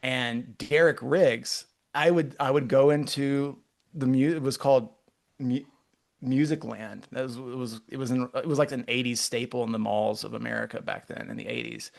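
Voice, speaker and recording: A man's voice: mid-range voice, steady tone, vocal fry. A man in his forties from Texas with a Texan accent, a guest artist chatting casually in an interview, rambling and restarting his sentences often. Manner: rambling, halting, many restarts.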